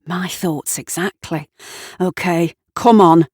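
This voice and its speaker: A woman's voice: fairly low pitch, slow pace, a little mellow, dry recording, studio quality. A woman voicing a flustered, easily startled man in a storybook, muttering and exclaiming to himself. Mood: relieved, chummy. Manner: muttering, exclaiming, talking to himself and his dog.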